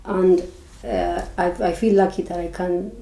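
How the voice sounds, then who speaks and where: A woman's voice: on the low side, flat delivery, creaky, mellow. Spanish-accented woman, echoey room. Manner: earnest, halting with restarts. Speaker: podcast co-host. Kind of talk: casual chat.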